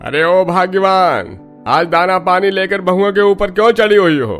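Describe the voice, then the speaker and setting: A man's voice: high voice, moderate pace, fairly bright tone. A man voicing an easygoing man of the household in a cartoon story. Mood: teasing. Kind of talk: Hindi cartoon story dialogue. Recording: music under the voice.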